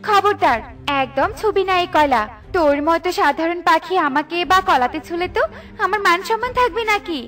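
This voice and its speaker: Woman voicing a very high-pitched, haughty banana tree. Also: expressive, normal speed, fairly bright tone. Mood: scornful, angry. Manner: haughty and dismissive. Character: arrogant, boastful, scornful talking banana tree. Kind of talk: cartoon fairy-tale dialogue acting.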